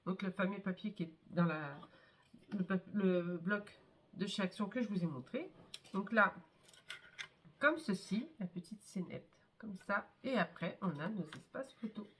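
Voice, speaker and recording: A woman with a cold showing her scrapbook albums in a casual, chatty way, with many fillers and pauses. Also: medium pitch, fairly bright tone, a little hiss or hum. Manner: chatty, hesitant, many fillers and "hop, voilà". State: has a cold, congested. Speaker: scrapbooking vlogger. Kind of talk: casual show-and-tell craft video.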